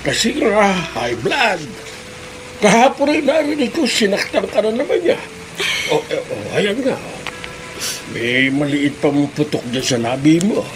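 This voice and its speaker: Animated, kindly older Filipino uncle. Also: male voice, high-pitched, measured pace, noisy background. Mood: concerned. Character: kindly, concerned older male neighbour, addressed as uncle. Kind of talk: Filipino radio drama acting.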